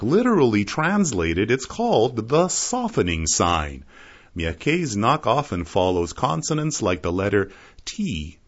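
A man's voice: fairly low pitch, fairly bright tone. A man from Canada giving a wry, playful scripted radio narration. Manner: wry, playful, slow and deliberate. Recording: dull lo-fi radio broadcast.

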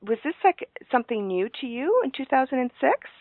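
A woman's voice: high voice, very animated, brisk, dry recording, narrow, tinny audio. Canadian woman hosting a radio interview. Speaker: radio program host, interviewer.

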